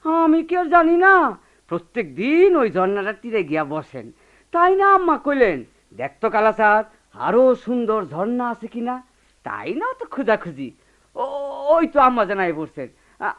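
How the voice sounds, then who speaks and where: Radio drama simple grumbling houseboy; rural Bangladeshi accent, animated high voice, very narrow tinny recording. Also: female voice, normal speed. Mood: eager. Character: simple, devoted young servant boy, chatty and grumbling. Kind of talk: Bengali radio play acting. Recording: thin, phone-like old radio recording.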